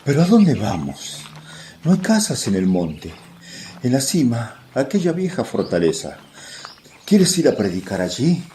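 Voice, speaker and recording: A man acts a winded, devoted disciple in a religious radio play. His speech swings up and down with a grumbling edge and a soft, mellow tone. Faint birdsong sits behind him. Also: medium pitch, wildly swooping pitch, a little slow, slight background noise. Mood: puzzled, winded. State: out of breath. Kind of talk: religious radio drama acting. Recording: faint outdoor birdsong and ambience.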